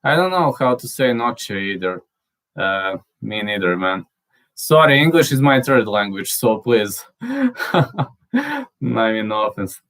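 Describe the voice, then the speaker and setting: A man, a native Serbian speaker, chatting casually and reading out viewer comments, halting with fillers and restarts and spelling out words he can't pronounce. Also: medium pitch, very animated, a bit bright. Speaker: channel host.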